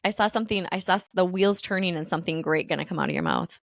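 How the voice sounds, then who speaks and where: A woman from the Midwest hosts a relaxed podcast chat, with a lowish voice and frequent giggles between her lines. The recording is close and dry, with no room sound. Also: fast, creaky, dry recording. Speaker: podcast host. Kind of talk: casual podcast conversation.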